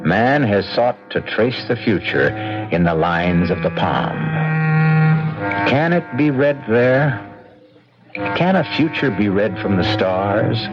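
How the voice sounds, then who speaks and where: A man with an American accent hosting a vintage radio mystery, narrating theatrically and ominously with dramatic pauses. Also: fairly low pitch, lively intonation. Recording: dull old radio recording, loud music.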